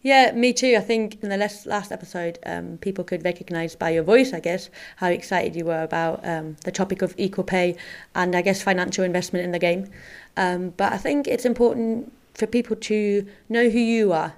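A woman's voice: medium pitch, fairly even intonation, moderate pace. A woman hosting a casual podcast chat in a friendly, teasing way. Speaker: podcast host and footballer.

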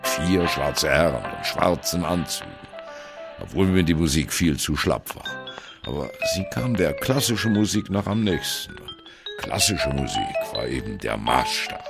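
A man playing a wry, embittered old German jazz drummer telling his life story in a radio play, with a gravelly, husky voice and a sardonic, conversational manner. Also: very deep voice, expressive, unhurried, loud music behind the voice, exaggerated voice. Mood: wry, defiant. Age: older. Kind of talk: dramatic first-person radio play monologue.

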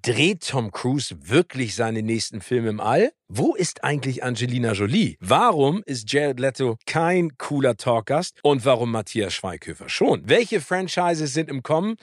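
A man's voice: mid-range voice, sing-song, unhurried, hardly any pauses, no echo, clean studio sound. A man, a podcast host, reading a scripted promotional spot for a show.

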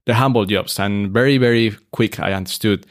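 A man, a hotel expert talking relaxed in an interview, with many fillers and restarts. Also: fairly low pitch, brisk, dry recording, studio quality. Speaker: hotel operations expert, guest. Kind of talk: casual interview conversation.

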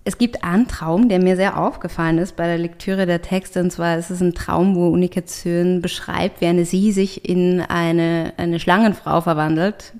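A woman's voice: on the low side, a little mellow. A woman writer and artist talking reflectively in an interview, often restarting and searching for words. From Austria. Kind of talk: thoughtful conversational interview.

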